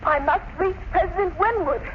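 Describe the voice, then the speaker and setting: A woman's voice: very high voice, normal speed. An actress playing a desperate young woman, urgent and pleading, in a 1930s radio thriller style. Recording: muffled, faintly noisy 1930s radio recording.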